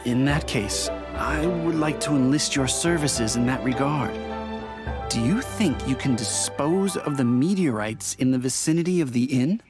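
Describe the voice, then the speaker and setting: A man's voice: mid-range voice, lively intonation, moderate pace, close to an everyday voice. A man as a courteous, earnest innkeeper. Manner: courteous, formal. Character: polite, earnest male innkeeper. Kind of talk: video game dialogue. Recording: music behind the voice.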